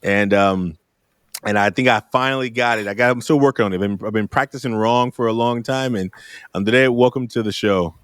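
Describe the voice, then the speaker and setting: Podcast host, man, middle-aged, low voice, friendly and informal, dry close recording. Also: brisk, clean tone, dry recording. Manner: friendly, repeats himself while practicing a name. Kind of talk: casual podcast interview.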